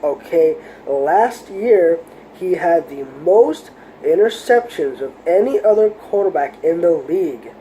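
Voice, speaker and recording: A man's voice: a little high, animated, takes it slowly, warm tone, slight background noise, poor audio. A congested man with a cold, talking casually as a fan in a home video, rambling with many fillers and getting heated. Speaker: sports vlogger. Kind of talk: casual football fan commentary.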